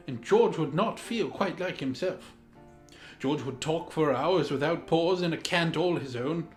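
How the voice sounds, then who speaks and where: A pompous Georgian lord played by a man narrates a storybook in a fairly high voice. His diction is deliberate and theatrical. The room is echoey, with music softly underneath. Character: pompous Georgian English lord reading a mad royal tale. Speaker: storyteller, host in the guise of a lord. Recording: roomy echo, music underneath.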